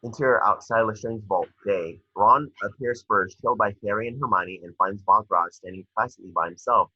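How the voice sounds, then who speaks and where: Sharp, husky low-voiced man narrating. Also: a little fast, dry recording, highs cut off. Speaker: narrator reading stage directions. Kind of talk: scripted narration at a screenplay table read.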